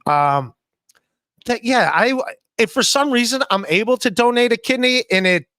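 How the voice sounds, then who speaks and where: Man in his forties, podcast host, self-deprecating banter, high bright voice. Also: lively intonation, normal speed, dry recording, clean studio sound. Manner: self-deprecating, reads chat messages aloud. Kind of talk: casual chat. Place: home room, indoors. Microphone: studio mic with headphones, video call.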